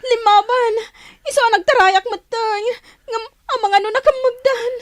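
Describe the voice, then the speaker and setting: A woman's voice: extremely high pitch, fairly even intonation, moderate pace, dry recording. A woman with an Ilocano Filipino accent playing a frantic young villager pleading for help in a radio drama. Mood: frantic, tearful.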